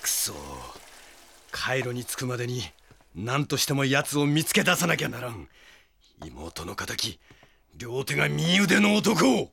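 A man's voice: medium pitch, very animated, measured pace, vocal fry, fairly bright tone, a little hiss or hum, exaggerated voice. An actor playing a brash, impassioned French swordsman bent on avenging his sister in a Japanese audio drama. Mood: uneasy.

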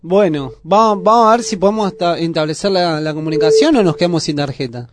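A man's voice: a little high, fairly quick, slight background noise. A man with an Argentine accent chatting and asking questions as a radio interviewer. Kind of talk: casual radio chat.

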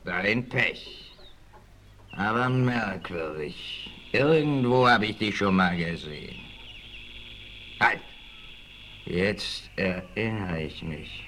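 Ruthless Western gang boss: piercing, forceful voice, sly and domineering, vintage radio-play acting, slight vinyl noise. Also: male voice, low-pitched, exaggerated voice. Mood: cold, menacing. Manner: sly, mocking, barking orders. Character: ruthless scheming bandit colonel, adult male, sneering and hot-tempered. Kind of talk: 1960s German audio drama acting. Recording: faint noise of an old vinyl record.

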